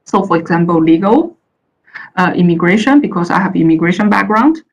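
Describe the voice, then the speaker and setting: Woman from china, chinese her first language, a bit low-voiced, halting presentation delivery. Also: expressive. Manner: hesitant, many uh fillers and restarts. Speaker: panel speaker. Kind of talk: presentation talk. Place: the Netherlands.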